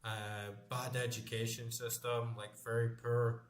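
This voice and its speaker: A man's voice: mid-range voice, flat delivery, a little slow, background noise. A man in his late thirties chatting casually while playing a game, rambling loosely and swearing now and then. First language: English. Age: late 30s. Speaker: gamer playing on stream. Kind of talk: casual chat while gaming. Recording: roomy sound with music behind.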